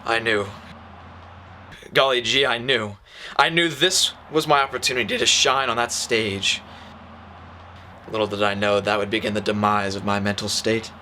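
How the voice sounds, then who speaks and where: An actor playing a gloomy, self-pitying slam poet who narrates a noir film in melodramatic, confessional monologue. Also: male voice, lowish voice, fairly even intonation, moderate pace, long pauses, sharp tone, slight background noise. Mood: brooding regret. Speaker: narrating lead character. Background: music.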